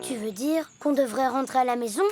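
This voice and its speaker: A woman's voice: sits high, brisk, close to an everyday voice. A woman voicing a sensible, proud little boy hippo who invents things in a children's cartoon, childlike and matter-of-fact. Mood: confident, helpful. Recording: loud music behind.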